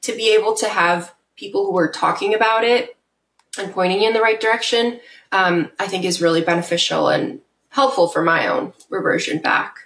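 A woman's voice: mid-range voice, fairly quick, fairly bright tone, reverberant, slight background noise. A woman guest in a podcast interview, speaking earnestly and reflectively with occasional fillers. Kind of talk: casual interview chat.

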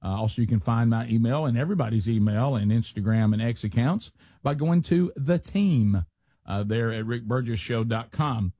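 A man, hosting a radio show and telling stories casually, animated, often repeating himself. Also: medium pitch, moderate pace, slightly rough, no room sound. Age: 60s. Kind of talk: casual storytelling and banter.

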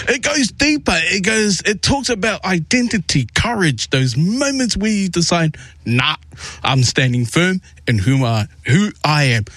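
Male radio host, fairly high voice, loose and rambling, greets guests warmly. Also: no echo. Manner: rambling, repeats words and restarts often. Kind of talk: warm casual interview with Pacific greetings.

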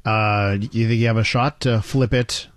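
A man's voice: fairly low pitch, steady tone, moderate pace, no echo, studio quality. A man hosting a radio interview, asking questions in a conversational way with some hesitations. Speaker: radio host.

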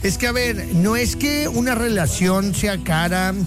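A man's voice: high-pitched, unhurried, music in the background. A man with a Mexican accent hosting a call-in radio show, joking and teasing in slangy casual banter.

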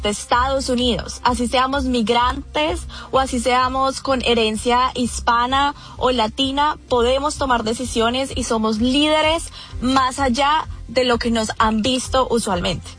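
A woman's voice: fairly high pitch, a bit bright. A woman with a Colombian accent, a guest in a radio interview, speaking earnestly with many fillers and restarts. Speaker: event organizer, guest.

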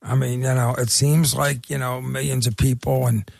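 Philly guy cohosting radio, gravelly husky voice, lots of ums and restarts. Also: mid-range voice, flat delivery, darkish tone, dry recording, studio quality. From Irish heritage. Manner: halting, many fillers and restarts. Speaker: radio co-host. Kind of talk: casual radio chat.

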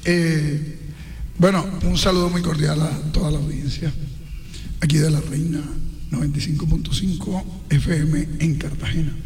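Middle-aged guy w/ a colombian caribbean accent, fairly high voice, earnest and speechy, reverby studio sound. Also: steady tone, moderate pace, darkish tone, a little hiss or hum. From Colombian Caribbean coast. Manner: earnest, speech-like, stacks lists of points. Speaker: political candidate, radio guest. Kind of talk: radio interview.

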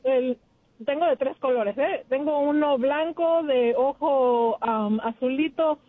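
A woman's voice: high voice, slow pace, dry recording. A Mexican woman calling into a radio show, talkative and telling about her family. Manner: talkative, storytelling. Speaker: caller. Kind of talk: phone-in chat. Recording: tinny phone line.

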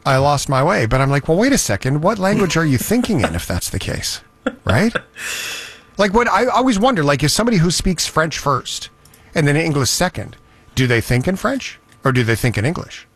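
A man hosting a talk show, telling stories in a chatty, animated way. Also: a little high, a little fast. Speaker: show host. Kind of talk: casual talk-show banter.

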